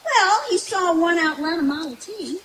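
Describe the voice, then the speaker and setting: A woman's voice: very high-pitched, expressive, moderate pace, a bit bright. A woman playing a folksy, jokey country hostess in a live old-time radio comedy. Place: outdoor night stage in the woods. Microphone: stage microphone, filmed by distant camera. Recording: noisy outdoor stage recording from afar.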